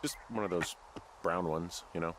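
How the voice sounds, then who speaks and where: A laid back american lookout dude in a game, deeper voice, deadpan n kinda defensive, faint noise behind. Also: male voice, moderate pace. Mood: deadpan, casual. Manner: deadpan, casual, slightly defensive. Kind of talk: naturalistic video game dialogue.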